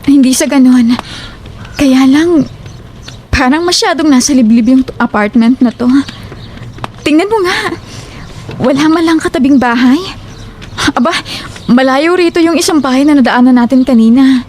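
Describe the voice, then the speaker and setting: A woman's voice: high-pitched, normal speed, pauses often, darkish tone, slight background noise, lightly acted. A woman playing a timid, uneasy young woman in a horror audio drama, hesitant and wary.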